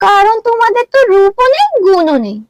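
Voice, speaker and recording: A vain young village wife from a moral-story cartoon acted by a woman, with a high, swooping voice. She complains in a haughty, whiny, theatrical way over background music, recorded close and dry. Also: animated, fairly quick, no echo, highs cut off. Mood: boastful, scornful. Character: vain, quarrelsome young village wife, proud of her beauty. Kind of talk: exaggerated cartoon dialogue.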